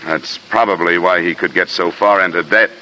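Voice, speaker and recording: An actor playing a hard-boiled, persistent investigator in a 1950s radio detective drama, clipped, dry and matter-of-fact. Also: male voice, deep voice, steady tone, normal speed, natural voice. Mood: dry, probing. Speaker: lead actor and narrator. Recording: noisy vintage radio recording.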